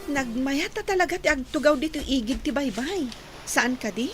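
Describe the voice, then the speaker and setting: A woman playing a gentle, slightly anxious young village woman in love in an Ilocano radio drama. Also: sits high, moderate pace, over music, lightly acted. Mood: happy but worried.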